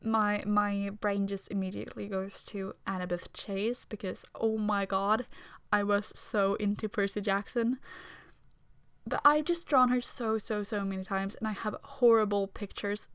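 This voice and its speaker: A young woman from Sweden with a Swedish accent, talking quietly and self-consciously over her speed drawing, with small nervous laughs. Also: medium pitch, clean tone, no room sound. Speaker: art vlogger. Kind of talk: casual voice-over talk during a speed drawing. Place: home room.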